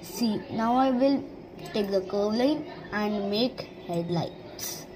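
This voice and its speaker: A woman's voice: medium pitch, slow, noisy background. A woman giving a step-by-step digital drawing tutorial, speaking in simple, short, broken instructions over a screen recording. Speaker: art teacher. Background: background music.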